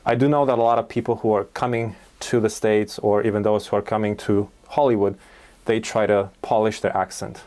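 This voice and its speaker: A man's voice: on the low side, flat delivery, a little fast, darkish tone. A middle-aged man, hosting a casual studio interview. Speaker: podcast host. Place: small indoor podcast studio.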